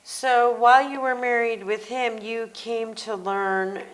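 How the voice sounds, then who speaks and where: An American woman hosting a personal interview, summarizing and prompting her guest in a measured, conversational way. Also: a little high, fairly even intonation, poor audio. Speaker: interview host.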